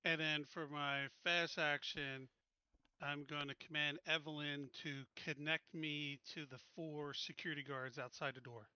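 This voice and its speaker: Very bright, forceful man at a game. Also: a little high, fairly even intonation, unhurried, clear, resonant tone, no room sound, lo-fi sound. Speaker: player in a tabletop game. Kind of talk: casual game chat.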